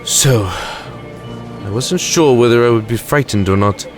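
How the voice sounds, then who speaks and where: A man's voice: mid-range voice, slow, music in the background, close to an everyday voice. A man playing a sardonic, fatalistic time-travelling alien lord in an audio drama, wry and flippant in manner. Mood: wry, composed.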